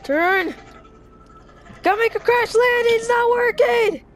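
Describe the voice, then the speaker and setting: High-pitched gamer acting out roleplay. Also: measured pace, noisy background. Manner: exclamatory, acts out the action. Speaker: player recording a game roleplay video. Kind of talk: dramatic improvised roleplay during gameplay.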